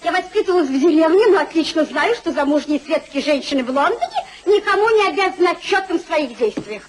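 A woman's voice: very high-pitched, animated, quick pace. A woman playing a wilful, teasing young society wife in a theatrical 1950s radio comedy, coquettish and defiant, on a noisy old recording.

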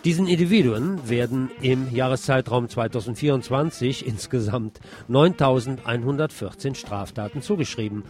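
Male news presenter, mid-pitched, scripted bulletin delivery, music running underneath. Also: darkish tone.

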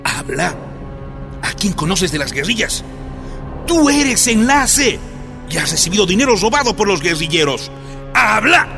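An actor playing a brutal military interrogator in a radio drama, harsh and barking orders. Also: male voice, sits high, big pitch swings, unhurried, gravelly, long pauses, heavily acted. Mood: threatening. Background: dramatic music.

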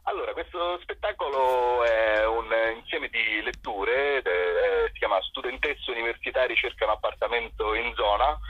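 A man chatting casually as an interview guest, a husky voice and a rambling, hesitant, jokey and self-deprecating manner. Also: lowish voice. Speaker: interview guest, theatre company performer. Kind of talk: casual radio interview chat. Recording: dull, boxy, roomy phone-line sound.

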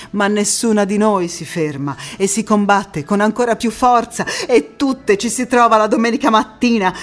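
An actress playing a passionate wartime partisan woman in a theatrical monologue, phrasing every line with deliberate emphasis. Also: a little high, close to an everyday voice. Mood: proud, rousing. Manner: emphatic, deliberate phrasing swinging between hushed intimacy and fervour. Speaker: stage actress. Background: music.

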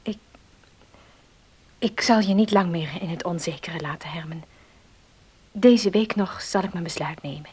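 An actress playing a hesitant, soft-spoken woman in a radio play, with a breathy delivery. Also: lowish voice, a little slow, long pauses. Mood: hesitant, earnest. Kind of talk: Dutch radio play acting.

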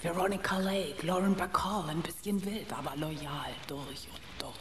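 A woman's voice: on the low side, normal speed, lightly acted. A woman playing a sultry, loyal female noir sidekick in a radio play. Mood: playful. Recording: roomy, echoing sound with noise.

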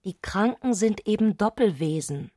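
In a dry radio drama recording, an eccentric, visionary woman with a medium-pitched voice. She makes insistent, fervent pronouncements as if they were plain truth. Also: lively intonation, moderate pace, mellow, breathy edge, no room sound. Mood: fervent, assertive. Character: Clarisse, fervent, eccentric woman obsessed with madness. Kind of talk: literary radio drama acting.

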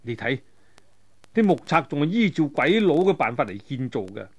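A man voicing a watchful, resolute martial-arts hero in a told adventure story, speaking in a measured, advising way. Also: a little high, very animated, breathy edge, full character voice. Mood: resolute, hushed.

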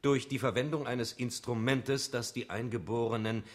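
An actor playing a formal, solemn academic lecturer in a scripted radio play, explaining things pedantically. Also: male voice, medium pitch, steady tone, moderate pace, close to an everyday voice. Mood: solemn, pedantic. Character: formal academic lecturer presenting exotic music research.

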